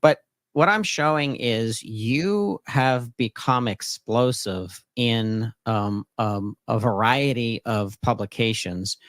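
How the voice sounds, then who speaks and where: A man's voice: mid-range voice, slow, a bit bright, dry recording, clean studio sound. A man hosting an interview podcast, talking in an emphatic, enthusiastic promotional way. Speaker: podcast host.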